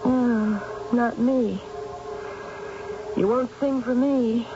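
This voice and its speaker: A woman's voice: on the high side, fairly even intonation, extremely slow. An actress playing a lonely, guilt-ridden, sleepless middle-aged mother in an old-time radio drama, fretful and brooding. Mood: lonely, pleading. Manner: fretful, brooding, self-pitying. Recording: dull, noisy old radio recording.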